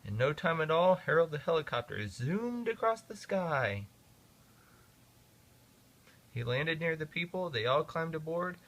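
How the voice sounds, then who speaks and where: Man reading a storybook, lively pitch. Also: a little slow, long pauses. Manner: expressive, sing-song reading. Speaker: father reading to his kids.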